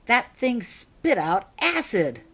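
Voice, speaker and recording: Woman playing young schoolboy, blunt, emphatic, grumbling, forceful delivery, storybook character. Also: on the high side, very animated, slow, husky, pitched above the performer's own voice, heavily acted.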